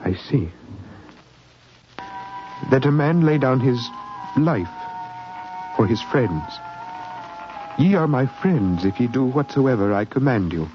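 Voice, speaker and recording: A man acting an educated, kindly doctor in a 1950s radio drama, measured and articulate with a dry wit. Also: mid-range voice, animated, over music. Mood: warm, earnest. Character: educated English doctor, kindly, principled, dryly witty. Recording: muffled, hissy 1950s radio recording.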